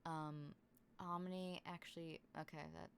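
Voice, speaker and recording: A woman's voice: on the low side, steady tone, a little slow, slight background noise. A young woman in casual voice-call chat as a tabletop game player, rambling with many fillers. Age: young adult.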